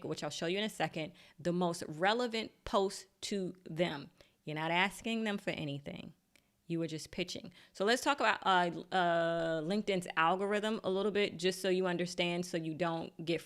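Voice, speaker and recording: Chatty, lower-voiced woman coaching a live workshop. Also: fairly bright tone. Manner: chatty and encouraging, laughs at her own slips. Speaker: LinkedIn marketing coach. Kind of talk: live online workshop teaching. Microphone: desk mic.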